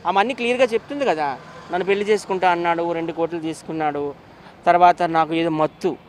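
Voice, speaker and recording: A man's voice: a little high, fast talker, a little hiss or hum. A man speaking as an interview guest, giving his opinions emphatically and often repeating phrases. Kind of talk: animated opinionated interview talk.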